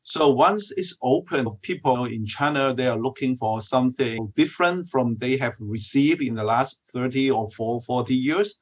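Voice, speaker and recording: A man from Hong Kong, a theologian, answers interview questions haltingly and leans on fillers. His pitch is ordinary. The sound comes through a muffled, lo-fi line. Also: expressive. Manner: hesitant, with frequent "uh" and "you know". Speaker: guest theologian.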